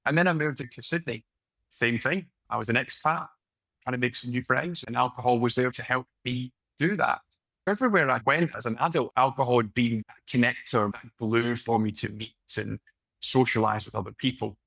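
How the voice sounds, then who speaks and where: A Scottish man in his late thirties, a podcast guest telling his story in a relaxed, conversational way over a video call. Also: mid-range voice, vocal fry, dry recording, low-quality recording. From west of Scotland. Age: late 30s. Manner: relaxed, unhurried storytelling with fillers.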